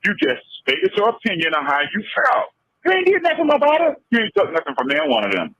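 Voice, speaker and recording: Male phone caller, rough husky voice, very lively intonation, casual and emphatic with repeated phrases, dull line. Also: high-pitched, quick pace. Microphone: telephone. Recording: dull, lo-fi jail phone call.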